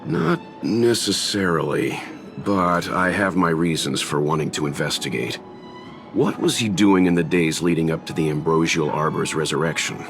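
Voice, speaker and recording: An actor playing a reserved, thoughtful gentleman investigator in a video game, measured and polite with some hesitation. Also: a bass voice, animated, music in the background. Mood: guarded. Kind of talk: video game character acting.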